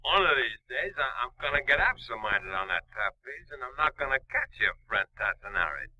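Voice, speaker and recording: A drunken, threatening circus flyer. The voice is very sharp and lurches up and down with slurred words. It comes from a muffled 1940s radio drama. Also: male voice, mid-range voice, sing-song, a little fast, heavily acted. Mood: drunk, taunting. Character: drunken, menacing male trapeze flyer.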